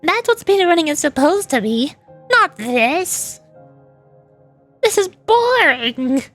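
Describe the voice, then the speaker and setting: Female VTuber, high voice, chatty and opinionated casual gaming commentary, rambling delivery, faint music. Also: very animated, takes it slowly, fairly bright tone. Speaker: VTuber streamer.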